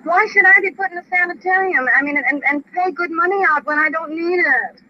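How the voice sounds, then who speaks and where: A woman's voice: very high voice, fairly quick. A frightened, tearful woman pleading in agitated, repetitive conversation. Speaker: escaped sanitarium patient held by police. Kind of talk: distressed conversation recorded live on a police ride-along. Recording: dull, noisy vintage radio field recording.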